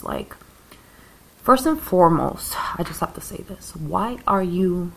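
A woman's voice: lowish voice, vocal fry, low-quality recording. A woman hosting her own podcast, talking in a rambling, ranting way about spirituality and growth, now and then coughing as she smokes. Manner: rambling, ranting, self-described calming voice; occasional coughs while smoking. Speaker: podcast host. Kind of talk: casual spiritual monologue.

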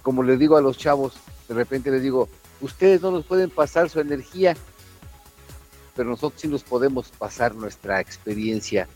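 A man's voice: medium pitch, moderate pace, darkish tone. A middle-aged man with a Mexican accent co-hosting a casual radio chat full of reminiscing. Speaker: radio co-host. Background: background music.

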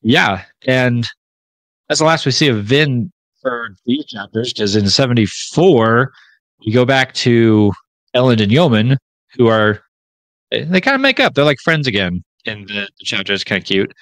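A man of middle pitch co-hosting a podcast, running through a chapter summary in a loose, informal way. He restarts his sentences often, and his voice sounds dry and close to the mic. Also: lively intonation, moderate pace, a bit bright, dry recording, poor audio. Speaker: podcast co-host.

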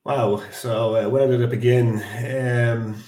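A man's voice: mid-range voice, steady tone, slow pace, mellow. An Irish man in his forties, a podcast guest telling his story in a chatty, rambling way with many fillers and restarts. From Ireland. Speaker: guest, construction business director. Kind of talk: casual podcast interview. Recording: echoey room.

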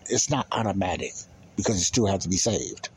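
A man's voice: low-pitched, expressive, brisk, slight background noise. A man teaching the Bible in an informal sermon, speaking emphatically, with repetition and rhetorical questions.

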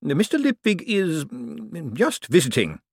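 A man's voice: high voice, wildly swooping pitch, unhurried, creaky, slightly rough, no room sound, clean studio sound, voice pushed up, full character voice. A man voicing a fussy, prim male clerk who speaks in a hesitant, formal way.

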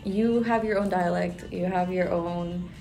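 Lower-voiced Tex-Mex woman, chatty co-host. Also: fairly even intonation, measured pace, gravelly, mellow, soft background music. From Rio Grande Valley, Texas. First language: Spanish. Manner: chatty, switches into Spanglish. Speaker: podcast co-host. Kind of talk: casual chat.

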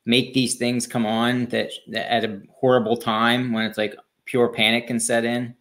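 A man's voice: medium pitch, little pitch movement, normal speed, a bit bright. Easygoing man, rambling podcast chat. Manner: easygoing, rambling, self-deprecating. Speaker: podcast co-host. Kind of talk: casual chat.

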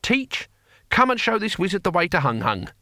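An ancient barbarian warrior played by a male narrator from a comic fantasy audiobook, pitched up and bright. He is proud and defiant, boasting bluntly in rough everyday speech, with a very lively swing to his delivery. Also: moderate pace, dry recording, studio quality, exaggerated voice. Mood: benevolent, defensive. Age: elderly. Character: ancient toothless barbarian hero, proud, gruff, defiant old man.

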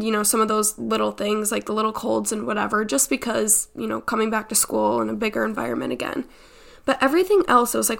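A young woman in her late teens talks as a podcast host in a fairly high voice with plenty of vocal fry. She sounds slightly stuffed up from congestion. Her delivery is chatty and informal, like a personal monologue with frequent filler phrases. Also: a bit bright. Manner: chatty, informal, peppered with "like" and "you know".

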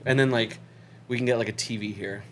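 Young dude on a home podcast, rambly and chill, mic close, normal pitch. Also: male voice, fairly even intonation, brisk. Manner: laid-back, rambling, laughs often. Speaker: podcast co-host. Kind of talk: casual podcast chat. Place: small home studio apartment.

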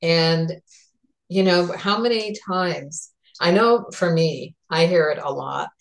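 A woman talk show host and therapist who speaks in a chatty, unhurried way, with frequent ums as she plugs sponsors and introduces her guest. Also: fairly low pitch, vocal fry, rough recording.

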